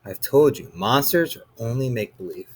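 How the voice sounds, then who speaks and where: A man's voice: medium pitch, expressive, moderate pace, darkish tone, slight background noise, close to an everyday voice. A man voicing an impatient mother who scolds her son at bedtime, firm and clipped. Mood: dismissive.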